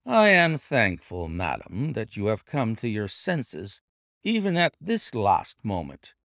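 Man playing a commanding gentleman sleuth, crisp and firm, lively pitch, gravelly, slow. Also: no room sound, clean studio sound, exaggerated voice. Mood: urgent. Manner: crisp, authoritative, measured. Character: brisk, commanding gentleman detective.

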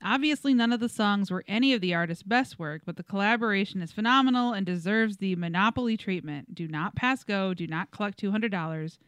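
A woman with a mid-range voice co-hosts a podcast in a relaxed chat format. She reads out listener feedback and comments on it informally and jokingly. The recording is very dry. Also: medium pitch, fairly quick, hardly any pauses, dry recording. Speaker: podcast co-host.

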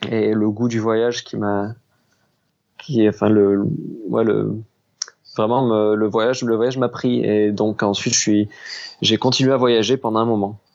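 Relaxed man in his thirties, dull lo-fi sound. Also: on the low side. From southwest France. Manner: relaxed, unhurried storytelling. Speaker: pastry chef and business founder. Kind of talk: casual interview conversation.

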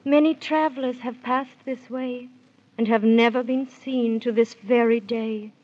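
Regal fairy queen, highish husky voice, incantatory rhymes, vintage radio serial. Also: fairly even intonation, measured pace. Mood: solemn warning. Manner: stately, incantatory rhymed delivery. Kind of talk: 1930s children's radio drama acting. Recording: dull 1930s radio recording.

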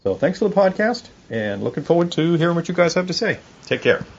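A man's voice: a little high, very animated, quick pace, gravelly. A man giving a casual spoken review of TV shows as a listener feedback message. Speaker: podcast listener leaving feedback. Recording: muffled, noisy home-recorded audio message.

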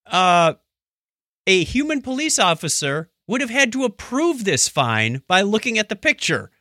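A man's voice: sits high, big pitch swings, a little slow, pushed and bright, no echo, studio quality. A man co-hosting a casual podcast about news stories, chatting in a joking manner.

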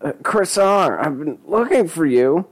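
A man's voice: fairly high pitch, lively intonation, pitched above the performer's own voice. A man voicing a nervous, frightened young man in a story, fumbling and pleading. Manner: stammering, pleading, drawn-out fumbling.

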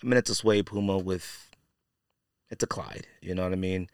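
Male podcast host, clear smooth mid-range voice, dry close sound, slangy and opinionated off-the-cuff commentary. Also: low-pitched, steady tone, normal speed, dry recording. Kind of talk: casual solo podcast commentary.

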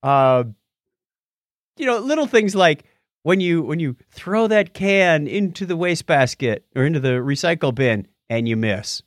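A man's voice: fairly high pitch, expressive, unhurried, dry recording, clean studio sound. A man co-hosting a podcast, talking casually and playfully as he tells stories and reads out listener comments. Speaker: podcast co-host.

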